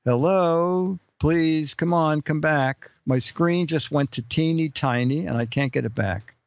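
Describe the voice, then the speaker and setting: A man's voice: a little high, measured pace, clear, resonant tone, dry recording, lo-fi sound. A man hosting a casual live-stream chat, joking around and reading out viewer questions. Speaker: show host.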